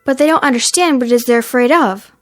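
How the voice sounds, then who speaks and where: An actress in a radio drama playing an earnest, caring teenage daughter who questions anxiously. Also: female voice, high-pitched, quick pace, no room sound, natural voice. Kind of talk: naturalistic radio drama acting.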